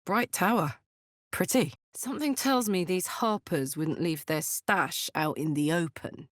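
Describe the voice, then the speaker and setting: Up close and very dry, an actress voices a battle-hardened woman warrior from a fantasy video game. She is unhurried and earthy, speaking bluntly and informally, with an impulsive streak and a big heart. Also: fairly low pitch, dry recording, close to an everyday voice. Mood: wry, casual. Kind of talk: video game character voice acting. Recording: dry close studio recording.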